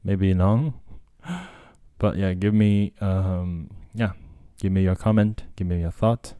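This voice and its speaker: A Japanese man talks alone in a relaxed, meandering way, stopping and restarting his sentences often. His voice sits very low and sounds soft and mellow. The recording is close and dry, with no room sound. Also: slow, smooth, dry recording. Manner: rambling, hesitant, many fillers and restarts. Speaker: podcast host and language tutor. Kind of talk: casual solo talk.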